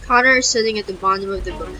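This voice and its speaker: A woman's voice: mid-range voice, rapid-fire, rough recording. Woman narrating a game playthrough. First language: Filipino. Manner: chatty, talks through her choices with restarts. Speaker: gaming YouTuber. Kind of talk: let's-play commentary, reading game text aloud. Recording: loud game music behind the voice.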